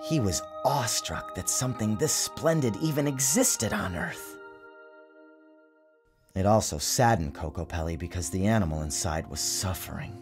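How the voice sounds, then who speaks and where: A man narrating his own story as a dramatic storybook reading, in a theatrical, measured and expressive manner. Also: fairly low pitch. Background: music.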